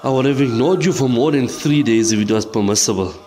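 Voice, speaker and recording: A man's voice: mid-range voice, brisk, mellow, slight background noise, close to an everyday voice. A man playing a stern but caring madrasa sheikh who lectures his student firmly, like a father. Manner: firm, admonishing, fatherly. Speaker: teacher. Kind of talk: audio drama acting.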